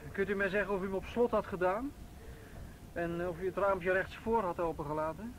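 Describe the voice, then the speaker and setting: Brisk, commanding male cop, high voice, old radio drama sound with slight noise. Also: a bit bright, low-quality recording. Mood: polite, insistent. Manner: commanding, probing. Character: brisk, persistent male police officer questioning suspects. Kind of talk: Dutch radio play dialogue.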